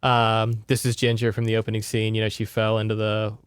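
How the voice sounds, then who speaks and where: A man's voice: fairly low pitch, completely flat delivery, brisk, clear, resonant tone, no echo, clean studio sound. A man co-hosting a podcast, recapping a book in a rambling, conversational way with sardonic asides. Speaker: podcast co-host. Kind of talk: casual book recap chat.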